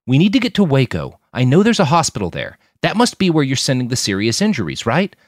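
A man's voice: medium pitch, wildly swooping pitch, fast, no echo, studio quality, pitched above the performer's own voice. A man voicing an earnest, anxious young fixer in an audiobook, speaking with polite, pleading urgency. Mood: insistent.

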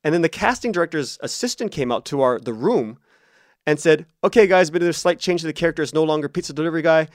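A man's voice: on the high side, expressive, fast talker, no room sound, studio quality. A man speaking as an interview guest, telling personal stories conversationally and casually, acting out quotes as he goes. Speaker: interview guest, podcast creator and writer.

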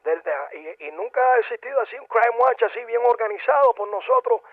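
A man doing a nosy neighbor who claims to be Cuban, his voice pitched very high as he keeps pressing and acting innocent. He sounds insistent and wounded whenever he is pushed back. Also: lively intonation, a little fast, no echo, phone-quality sound. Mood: innocent, persuasive. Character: pushy male neighbor posing as Cuban. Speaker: prank caller. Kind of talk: prank phone call.

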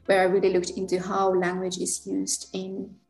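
A woman in her thirties with a Finnish accent, answering as a podcast guest in a measured, explanatory way. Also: medium pitch, completely flat delivery, vocal fry, darkish tone. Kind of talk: interview answers. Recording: echoey room with faint music.

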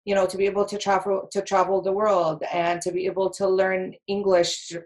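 A woman's voice: medium pitch, level tone, moderate pace, a little mellow, poor audio. A woman in her thirties from Egypt, a podcast guest talking chattily with lots of laughs and fillers. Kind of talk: casual interview chat.

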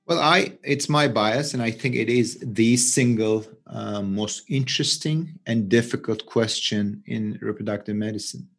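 A middle-aged man with a Turkish accent, giving unscripted interview answers as a scientist, halting and often repeating words. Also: on the low side, vocal fry. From Turkey. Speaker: interview guest, research scientist and physician.

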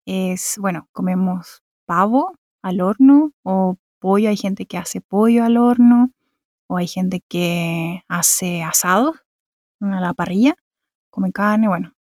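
A woman with a Chilean accent from Santiago, answering interview questions in an unhurried way with frequent filler words. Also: mid-range voice, a little mellow, dry recording, studio quality. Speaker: interview guest. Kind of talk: casual conversational answers.

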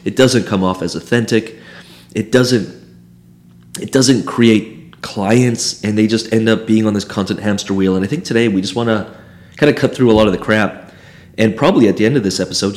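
A man co-hosting a podcast about online coaching, hoarse and losing his voice, talking casually and candidly. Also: fairly low pitch, steady tone, normal speed, darkish tone. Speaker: podcast co-host.

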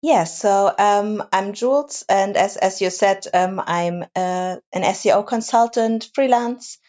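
A woman's voice: medium pitch, a little slow, darkish tone, dull audio. A woman, speaking as a podcast guest and often repeating words as she gathers her thoughts. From Germany. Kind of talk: casual interview chat.

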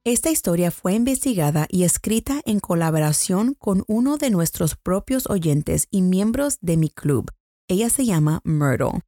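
A woman with an American English accent narrates her true crime podcast in careful, earnest Spanish. Her voice sits a little low and sounds warm and mellow. The recording is close and dry, with soft music underneath. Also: animated, unhurried, no room sound. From United States. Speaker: podcast host.

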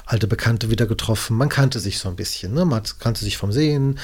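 A man's voice: fairly low pitch, a little fast, husky. A man in his fifties from the Cologne area, chatting as an interview guest, friendly and rambling, now and then tripping over his words and correcting himself. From Cologne area, Germany. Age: 50s. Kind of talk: casual interview chat.

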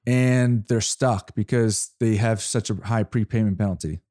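A man's voice: on the low side, fairly even intonation, dark tone. A man hosting an interview, stopping and restarting as he frames his questions and affirms the guest's points. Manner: halting, restarts often, affirming. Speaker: podcast host. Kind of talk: casual interview.

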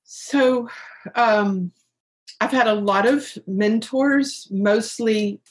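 A middle-aged woman speaking earnestly and reflectively as an interview guest about purpose and spirit, with soft laughs. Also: fairly high pitch, very slow. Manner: earnest, searching, laughs softly. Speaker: guest, author on life purpose.

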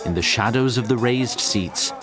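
An actor playing a haughty, wry otherworldly narrator of a fantasy audio drama, with ornate, deliberate, condescending phrasing. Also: male voice, mid-range voice, lightly acted. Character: haughty, wry otherworldly storyteller addressing lesser mortal listeners. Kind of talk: theatrical scripted fantasy narration. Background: music under the voice.

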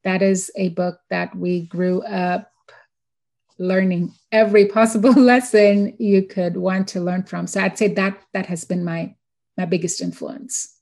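A woman's voice: fairly low pitch, steady tone, unhurried, vocal fry, a little mellow. A woman from India, a dentist guest explaining things in a casual interview with quoted examples.

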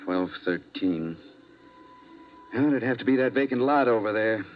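A brisk, businesslike police sergeant in an old radio play. The voice is low, with a faint hiss behind it. The vintage recording sounds dull. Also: male voice, lively intonation. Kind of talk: 1950s radio drama acting.

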